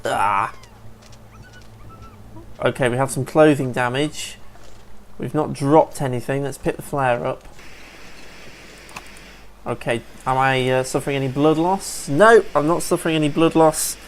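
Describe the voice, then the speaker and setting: A man's voice: mid-range voice, unhurried, pauses often, slight background noise. A man giving casual, upbeat commentary over a survival game, a bit sniffly from allergies and chatting to viewers as folks. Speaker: let's play gamer.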